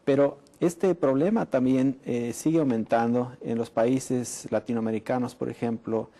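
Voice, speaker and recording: A middle-aged man from Mexico speaks as a public health doctor in a studio interview. His voice is mellow and relaxed at an ordinary pitch, and he explains in a measured way, often pausing with "eh". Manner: measured, frequent "eh" pauses.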